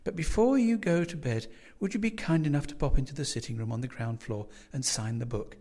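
A man's voice: fairly high pitch, fairly quick, dark tone, close to an everyday voice. A man voicing a dotty, overly sweet older landlady who gushes and fusses over her guest. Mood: coaxing. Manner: gushing, fussy, coaxing.

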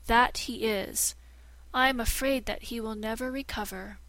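A woman's voice: a little high, normal speed, fairly bright tone, no room sound. A woman reading as a haughty scheming shadow-man. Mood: feigning distress. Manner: commanding, archaic thee and thou speech. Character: haughty scheming male shadow turned master.

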